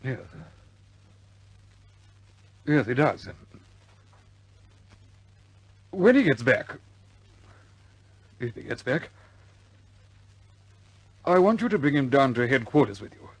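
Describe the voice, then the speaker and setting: Concerned commanding officer, mid-pitched, dry close sound, halting and quietly authoritative, vintage radio war drama. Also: male voice, big pitch swings, slightly rough, no room sound, exaggerated voice, rough recording. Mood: grave resolve. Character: concerned, reserved male senior air force commander.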